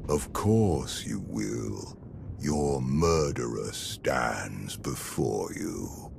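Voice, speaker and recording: A man playing an ancient evil emperor's spirit in a video game, arrogant and mocking, with a gravelly deep voice and menacing delivery. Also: expressive, very slow, darkish tone, full character voice. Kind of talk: dramatic video game acting. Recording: clear noise behind the voice.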